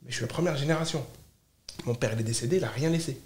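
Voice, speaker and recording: A man's voice: mid-range voice, very fast, a little mellow, roomy sound. A man from France speaking casually as a podcast guest, emphatic, repeating and piling up short phrases. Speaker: podcast guest, startup founder. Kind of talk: casual, animated conversation.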